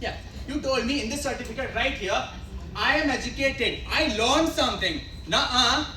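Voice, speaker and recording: A woman's voice: a little high, lively intonation, normal speed, natural voice. Stubborn, slangy Indian ex-pupil played by a young woman, insistent stage comedy delivery, distant noisy hall recording. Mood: demanding. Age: young adult. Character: brash, stubborn male former pupil demanding money. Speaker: student actor in a college play. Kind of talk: amateur stage comedy acting. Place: live college stage, picked up from afar.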